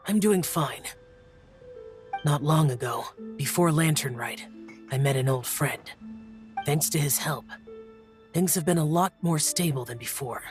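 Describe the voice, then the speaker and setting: A woman's voice: sits low, measured pace, pauses often, darkish tone. A woman voicing a stern, guarded immortal young male demon slayer in a game, terse and cool. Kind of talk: game cutscene voice acting. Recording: music under the voice.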